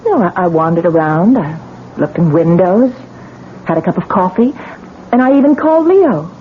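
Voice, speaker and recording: An actress playing a distraught young newlywed wife in a 1970s radio drama, stammering and defensive. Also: female voice, lowish voice, expressive, moderate pace, a little hiss or hum, close to an everyday voice. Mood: pleading. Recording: tinny old radio broadcast with music.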